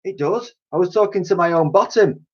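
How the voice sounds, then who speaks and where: A man's voice: high-pitched, fairly quick, darkish tone, pitched above the performer's own voice. A man voicing a dotty, kindly cleaning lady with a chatty, colloquial manner in a children's storybook. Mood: flustered. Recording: dull lo-fi recording.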